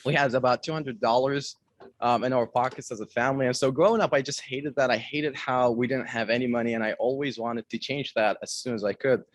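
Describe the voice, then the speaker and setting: A young man from Iraq addresses a crowd as a guest speaker, energetic and direct, hammering points home through repetition. His voice sits at an everyday middle pitch. The sound is dry and close. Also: fairly quick, no echo. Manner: energetic, direct, repeats phrases for emphasis. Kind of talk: motivational talk to an audience.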